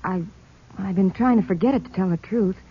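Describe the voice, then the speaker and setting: An actress playing a shaken American crime witness in a radio drama, speaking plainly in a hesitant, nervous way. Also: female voice, mid-range voice, husky. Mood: reluctant. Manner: halting, nervous, plain-spoken. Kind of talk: 1950s radio drama acting. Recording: muffled, noisy old-time radio.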